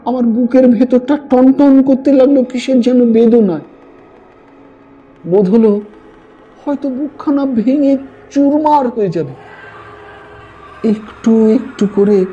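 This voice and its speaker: A man narrating a ghost story as a dramatic audiobook, with measured, expressive and suspenseful delivery. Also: sits high, slight background noise. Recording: dull lo-fi voice track over music. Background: background music.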